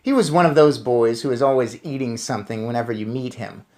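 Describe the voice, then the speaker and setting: A man's voice: medium pitch, brisk, a little mellow. A man reading a story aloud and commenting on it as narrator. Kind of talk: read-aloud narration with casual recap.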